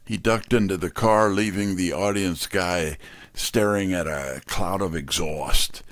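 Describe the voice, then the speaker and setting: A man's voice: low-pitched, no room sound. A man playing a tough, wisecracking private-eye narrator in an audio drama, wry and slangy. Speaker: narrator and lead character. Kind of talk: first-person hardboiled detective narration and dialogue.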